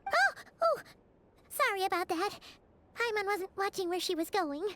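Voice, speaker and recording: A woman voicing a small, excitable, childlike fairy companion in a video game, with a squeaky, expressive voice. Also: normal speed, slight background noise, full character voice. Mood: chatty, curious. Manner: refers to herself in the third person, expressive. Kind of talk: video game character dialogue.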